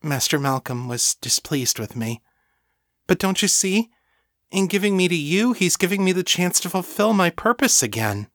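Male narrator as devoted servant woman, raised pitch, earnest, submissive, rapturous, fantasy audiobook. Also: very animated, normal speed, fairly bright tone, no echo, clean studio sound. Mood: rapturous devotion. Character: Lena, devoted, self-effacing female thrall, rapturous and servile.

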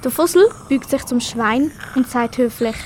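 A female narrator with a high voice and a Swiss German accent reads a children's animal story. The amateur recording carries faint background noise. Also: normal speed, a little mellow. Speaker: radio play narrator.

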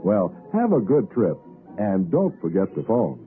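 A man's voice: lowish voice, huge pitch swings, measured pace. A man reading a friendly, persuasive 1960s radio commercial. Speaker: advertisement announcer. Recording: tinny old radio broadcast. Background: faint music.

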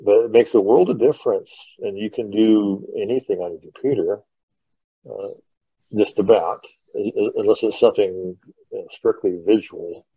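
A man's voice: fairly low pitch, normal speed, husky, dry recording. A middle-aged man chatting casually as a podcast host, telling rambling, informal stories over an online call. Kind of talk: casual reminiscing podcast talk. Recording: dull, lo-fi online call audio.

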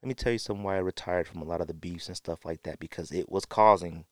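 A man's voice: deep voice, fairly even intonation, quick pace, darkish tone, no echo, clean studio sound. A middle-aged man hosting a podcast in a casual, opinionated monologue, speaking emphatically and repeating phrases for effect. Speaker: podcast host.

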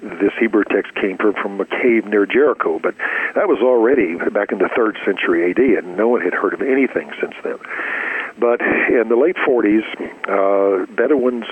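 A man's voice: lowish voice, slight background noise. A man speaking as an expert guest, an academic giving explanatory interview answers over a narrow line. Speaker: expert guest, archaeologist and professor. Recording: slightly rough, very narrow phone-like line.

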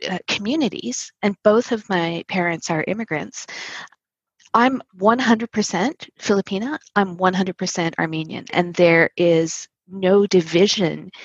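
A woman guest, a social justice lawyer, giving thoughtful, measured answers in an interview and sometimes pausing to rephrase. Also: fairly low pitch, slightly rough, no echo, lo-fi sound. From Canada.

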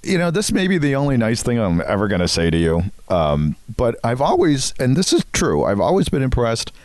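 A man's voice: medium pitch, wildly swooping pitch, brisk, darkish tone, no room sound. A man in his fifties or older, co-hosting a podcast with casual comic banter. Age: 50s or older. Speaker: podcast co-host.

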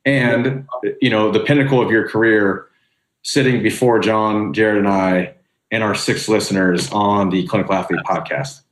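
A man's voice: fairly low pitch, steady tone, normal speed, rough recording. A man hosting a podcast, reading an upbeat scripted intro and then chatting casually with jokes at his own expense. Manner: upbeat, joking, self-deprecating. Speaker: podcast host.

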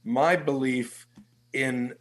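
A man in his sixties answering interview questions as a guest, measured and listing things in steady runs. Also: mid-range voice. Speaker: guest, executive search professional.

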